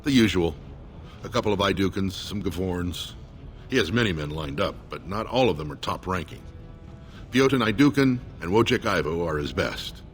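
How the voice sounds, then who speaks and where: A man playing a loyal, earnest dwarven soldier with a formal, measured delivery in a video game. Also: sits low, animated, soft background music. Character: loyal dwarven soldier, solemn supporter of his lord. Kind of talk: scripted video game dialogue.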